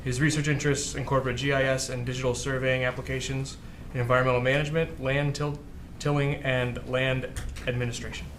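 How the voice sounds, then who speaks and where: A man's voice: mid-range voice, little pitch movement, a little fast, fairly bright tone. A man hosting an academic seminar, reading out a formal introduction of the guest speaker. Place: indoor university seminar room. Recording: clear background noise.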